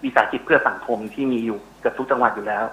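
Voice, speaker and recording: Male researcher guest, middle-aged, bright forceful voice at medium pitch, explanatory, pausing for words. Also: steady tone, rough recording. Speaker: interview guest, university researcher. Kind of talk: explanatory interview answers. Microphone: telephone call.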